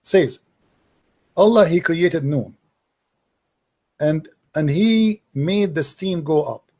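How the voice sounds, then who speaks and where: A husky, rather high-voiced man speaks as a debate host. His tone is dark and gravelly, and he teaches in a didactic way, posing leading questions as he reads from texts. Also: lively intonation, slow pace. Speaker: host debating religion with a caller. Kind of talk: live argumentative teaching, reading and commenting on texts.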